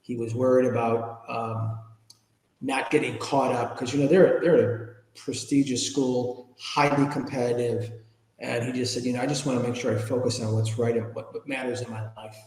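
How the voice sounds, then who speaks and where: A man's voice: medium pitch, little pitch movement, moderate pace, a little mellow. A man in his fifties or older talking casually as an interview guest, plainspoken and informal, rambling a little. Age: 50s or older. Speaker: podcast guest, ethics speaker and author. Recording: echoey, reverberant room sound.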